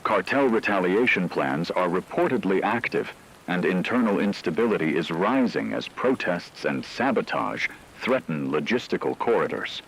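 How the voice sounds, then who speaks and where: A man playing a grave radio news anchor, delivering a scripted emergency war broadcast in a measured, solemn, analytical manner. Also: deep voice, animated, gravelly, fairly bright tone, slight background noise, full character voice, rough recording. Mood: grave, urgent.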